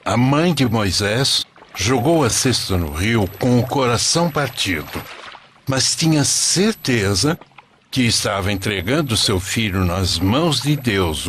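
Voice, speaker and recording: A man playing a devout, patient old grandfather narrating a sacred animated story. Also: medium pitch, slow pace, a little hiss or hum. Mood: solemn storytelling.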